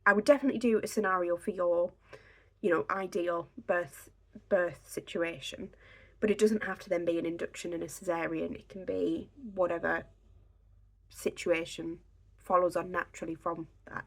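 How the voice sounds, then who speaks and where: A young woman with a slightly raspy, croaky voice, chatting casually to a camera on a tripod at home, giving pregnancy advice. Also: on the low side, normal speed. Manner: chatty, filler-heavy, reassuring. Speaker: pregnancy vlogger. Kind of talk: casual instructional talk to camera.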